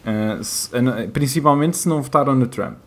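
A man's voice: mid-range voice, brisk. A young man with a European Portuguese accent, chatting casually as a podcast co-host, hesitant and laughing easily. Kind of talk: casual chat.

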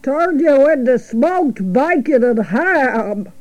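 Old female sheep, slow drawl, blunt, mellow, storybook figure, acted by a woman. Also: sits high, expressive, pitched above the performer's own voice, poor audio. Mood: ominous, blunt. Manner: slow, drawn-out, matter-of-fact delivery. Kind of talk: children's storybook character voice.

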